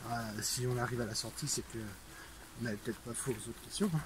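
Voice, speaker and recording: A man's voice: mid-range voice, fast talker, rough recording. A man chatting outdoors in a maze, reading quiz questions aloud and teasing his companion. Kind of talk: casual chat while walking a maze. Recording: faint outdoor noise.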